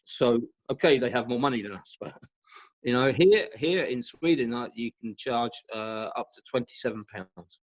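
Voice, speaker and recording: A man speaking as an expert guest in an informal discussion on a video call, halting and repeating words for emphasis. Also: mid-range voice. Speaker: guest expert, professional badminton racket stringer. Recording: dull lo-fi video call audio.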